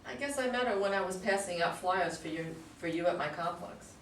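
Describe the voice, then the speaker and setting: A woman in a staged play reading as an eager, lovestruck office assistant, excitable and theatrical. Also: on the low side, a little fast, close to an everyday voice. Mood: bright, innocent. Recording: distant mics, echoey room, faint noise.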